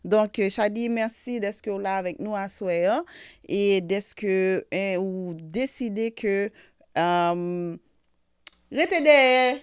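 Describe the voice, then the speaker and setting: A woman's voice: medium pitch, takes it slowly, smooth. A woman hosting a casual interview, hesitant with many fillers. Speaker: talk show host.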